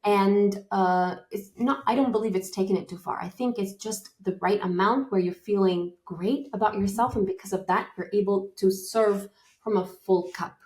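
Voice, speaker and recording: A woman's voice: mid-range voice, steady tone, normal speed, a little mellow. Woman in her forties, enthusiastic, encouraging, motivational interview guest. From Romania. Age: 40s.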